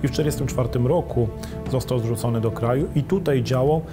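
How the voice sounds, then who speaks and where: A man's voice: medium pitch, a little fast. A man explaining things as an expert in a documentary interview. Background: music.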